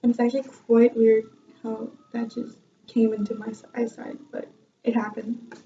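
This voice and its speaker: A young woman tells a story in a chatty, self-mocking way and laughs easily. Her voice sits fairly high and sounds mellow. The recording is dull and lo-fi, and she sounds a little far from the mic. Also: flat delivery, normal speed, soft background music. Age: young adult. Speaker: vlogger and music student. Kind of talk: casual storytelling vlog.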